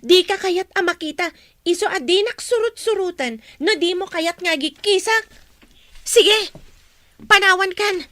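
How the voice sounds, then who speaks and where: A woman's voice: very high-pitched, unhurried, sharp tone, exaggerated voice. An actress playing an indignant young woman in a radio drama, huffy and scolding. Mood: angry.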